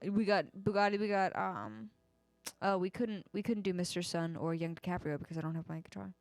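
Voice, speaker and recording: A woman co-hosting a casual livestream chat, playful and giggly, her voice slightly hoarse. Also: fairly low pitch, brisk, dry recording. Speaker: co-host and singer.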